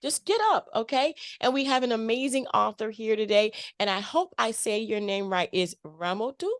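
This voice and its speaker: A woman's voice: a little high, moderate pace, no room sound, clean studio sound. A woman from the United States hosting a casual radio-style author interview, bubbly, upbeat and playful. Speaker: podcast host.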